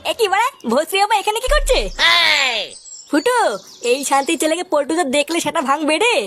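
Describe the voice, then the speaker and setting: A woman's voice: very high-pitched, animated, brisk, faint music behind. A woman voicing a cheeky, nosy, foul-mouthed young boy in a crude comedy cartoon, brash and teasing. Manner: brash, teasing, swearing freely.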